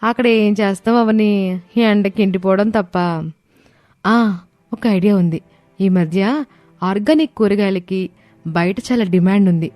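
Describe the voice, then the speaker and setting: A woman's voice: mid-range voice, a little slow, long pauses, darkish tone, no room sound, soft background music, close to an everyday voice. A woman voicing an earnest, eager young daughter-in-law in a dramatized story. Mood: scheming, eager.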